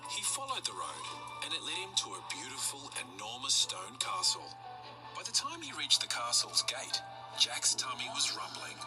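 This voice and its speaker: A man's voice: mid-range voice, wildly swooping pitch, normal speed, rough recording. A man reading scripted narration for a children's storybook. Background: music.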